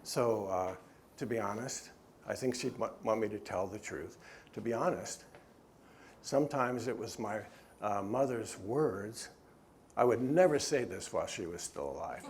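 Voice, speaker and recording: A man's voice: lowish voice, expressive, a little slow, darkish tone, slight background noise. A middle-aged man, the author, gives an earnest talk at a church and answers questions, often stopping and restarting. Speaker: author and speaker.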